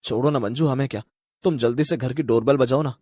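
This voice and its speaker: A man's voice: medium pitch, fast talker, warm tone, dry recording, clean studio sound, close to an everyday voice. A man playing a worried young husband in a cartoon story, talking earnestly. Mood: puzzled. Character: worried young husband working at a pizza shop. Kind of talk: animated cartoon story voice acting.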